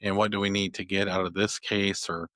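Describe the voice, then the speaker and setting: A man's voice: low voice, little pitch movement, brisk, pushed and bright, no echo. A male attorney hosting a podcast interview, talking thoughtfully with many restarts. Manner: thoughtful, rambling with many restarts and "you know". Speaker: podcast host, family law attorney. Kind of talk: conversational interview.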